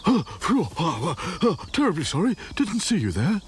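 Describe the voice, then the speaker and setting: A man's voice: sits high, huge pitch swings, moderate pace, a little hiss or hum, voice pushed up. A man voicing a short-sighted, talkative old male mole who stammers and puffs. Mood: flustered. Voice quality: stammering, breathless and puffing.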